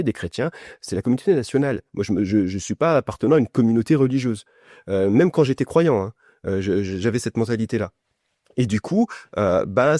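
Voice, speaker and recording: A man from France with a lowish, mellow voice speaks as a guest in a conversation. He explains things in a personal way, restarting phrases as he goes. The sound is dry, with very little room. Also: no room sound. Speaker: podcast guest, essayist and journalist. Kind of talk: conversational interview answer, personal account.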